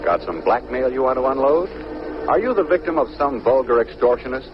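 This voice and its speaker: A mysterious male caller played by an actor in a 1940s radio mystery. He is theatrical, smooth-talking and menacing, with very animated pitch. The old recording is dull and a little noisy. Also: brisk, close to an everyday voice. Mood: insinuating. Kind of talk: 1940s radio drama acting.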